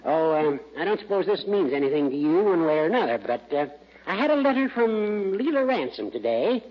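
An actor playing a sly, needling older judge with a coy, teasing manner in a 1940s radio comedy. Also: male voice, sits high, big pitch swings, brisk. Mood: coy, hesitant. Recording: muffled vintage 1940s radio recording.

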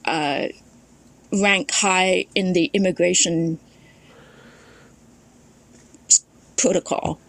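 A woman's voice: on the low side, fairly even intonation, very slow, creaky, pauses often, a bit bright, poor audio. A woman from Thailand, a podcast guest and memoirist, recalling her family history in a reflective storytelling way, often restarting her sentences. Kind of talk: casual conversation.

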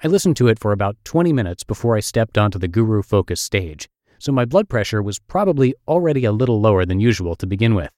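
A man's voice: fairly low pitch, sing-song, quick pace, darkish tone, dry recording, clean studio sound. A middle-aged man with a Russian accent reading his own essay aloud in a wry, self-deprecating storytelling manner. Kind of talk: read-aloud essay narration.

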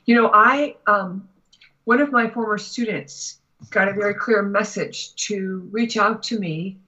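An American woman talking conversationally as a guest spiritual teacher, explaining and telling anecdotes in a measured, deliberate way. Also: medium pitch, bright, forceful tone, breathy edge, lo-fi sound. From United States. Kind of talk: conversational interview talk with anecdotes.

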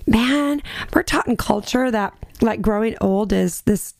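This is a woman in her fifties with a gravelly, fry-edged voice, talking with animated emphasis and frequent laughter. She is a podcast guest speaking about faith. The sound is dry and close, with no room around it. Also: a little high, measured pace, no room sound. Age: 50s. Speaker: podcast guest, worship singer. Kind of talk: casual faith conversation.

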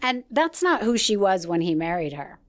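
A woman's voice: mid-range voice, big pitch swings, moderate pace. Curious woman hosting a gossip podcast. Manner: curious, prompting questions. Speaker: podcast host. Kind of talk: casual interview chat.

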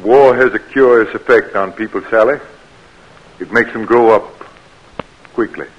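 A man's voice: on the low side, unhurried, highs cut off. An actor playing a grave, solemn middle-aged Southern man in a 1940s radio drama, speaking hesitantly.

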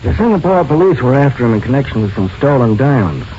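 Measured police lieutenant played by a man, muffled recording. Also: mid-range voice, big pitch swings, warm tone, natural voice. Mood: businesslike probing. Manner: measured, official, insistent. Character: calm, methodical male police lieutenant questioning a suspect. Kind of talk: old-time radio drama acting. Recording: noisy, muffled vintage radio recording.

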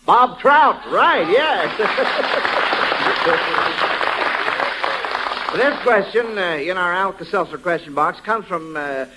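A man's voice: high voice, expressive, very slow, pauses often, a crowd in the background, background noise. A man hosting an old-time radio quiz show, encouraging and affirming as he goes, partly ad-libbing. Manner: encouraging, affirming children's answers.

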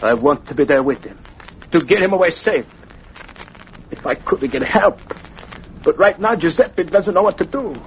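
Worried italian immigrant dad, pleading in broken english, old radio drama, kinda muffled and noisy. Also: male voice, sits high, animated, moderate pace, pauses often. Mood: desperate, pleading. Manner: broken English, refers to himself in third person. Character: anxious, pleading Italian immigrant father in trouble. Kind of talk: 1940s radio acting.

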